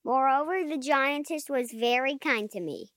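A man voicing a brave, earnest young boy in a storybook reading, with urgent high-pitched pleading. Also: moderate pace, a bit bright, no echo, studio quality.

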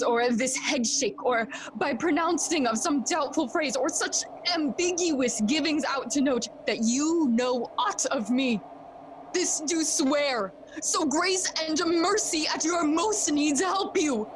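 A troubled young prince in a Shakespearean audio play. The voice is high and unhurried, delivering verse with earnest, declamatory weight. Clear ambient noise sits behind the words. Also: female voice. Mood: solemn urging. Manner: deliberate, declamatory, solemn. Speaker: stage actor in audio play.

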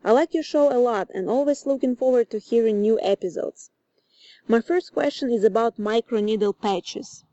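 Woman from Ukraine, listener voice memo, mellow tone, dull dry phone sound. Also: a little high, no room sound. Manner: careful, measured, reads a prepared question. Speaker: listener calling in a question. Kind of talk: recorded voice message.

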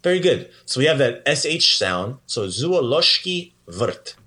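A man's voice: mid-range voice, very animated, unhurried, sharp tone. Encouraging man hosting a casual language lesson. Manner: encouraging, praising the learner often. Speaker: podcast host teaching language lesson.

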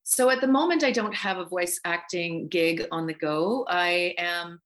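A woman's voice: lowish voice, measured pace, fairly bright tone. A middle-aged woman from Canada, talking casually and reflectively as an interview guest. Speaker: guest voice actor and writer.

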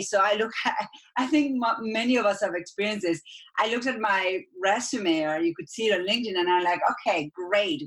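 A woman in her forties from Argentina with an Argentine Spanish accent, a guest executive coach speaking in a podcast interview, fluent and animated. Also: medium pitch, low-quality recording. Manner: fluent and animated, with fillers like "you know" and "like".

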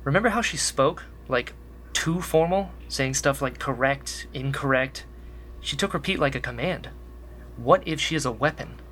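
A man playing a caring, curious young male rebel in a sci-fi radio drama, speaking gently and thoughtfully. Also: mid-range voice, moderate pace, a little hiss or hum, natural voice. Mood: earnest, curious.

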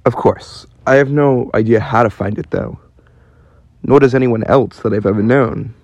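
Radio drama role: lost, sceptical man, acted by a man. World-weary, fry-edged voice, very dry sound. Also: mid-range voice, normal speed, a little mellow, no echo, dull audio, lightly acted. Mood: weary, downcast. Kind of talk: radio play acting.

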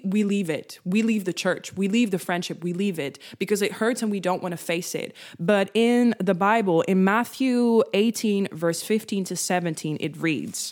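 A woman's voice: fairly low pitch, a little mellow. A young woman from the Netherlands, Dutch as her first language, speaking English with a Dutch accent. She gives a devotional talk straight to her viewers and acts out her own reactions in a chatty way. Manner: conversational, addresses viewers directly.

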